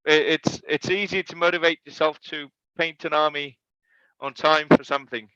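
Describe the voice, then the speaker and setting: A man's voice: a little high, steady tone, moderate pace, pushed and bright, clean tone, no echo, lo-fi sound. A man chatting casually on a podcast, stumbling and repeating his words as he goes. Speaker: podcast co-host. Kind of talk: casual chat.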